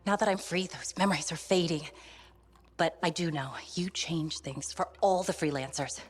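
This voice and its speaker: A woman voicing a reflective, gentle cartographer just freed after ten years, in a video game. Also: on the low side, normal speed, breathy edge.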